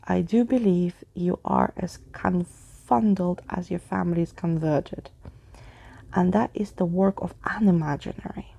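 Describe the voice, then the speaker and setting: Storybook skeleton gentleman, played by a woman: theatrical, wordy, drawn-out emphasis, very creaky and slightly husky. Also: lowish voice, no echo. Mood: probing. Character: Skeleton Keys, theatrical exclaiming male skeleton adventurer.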